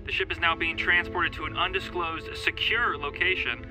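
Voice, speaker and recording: A man's voice: on the high side, fairly even intonation, normal speed, over music, natural voice, low-quality recording. A man playing an urgent, formal news reporter in audio fiction, reading with emphasis. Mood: serious.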